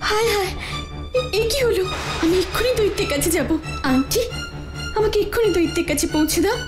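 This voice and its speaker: The kind young heroine of an animated fairy tale, pleading earnestly in a very high voice as the score plays. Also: female voice, fairly quick. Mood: distressed, devoted. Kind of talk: cartoon fairy-tale voice acting. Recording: music behind the voice.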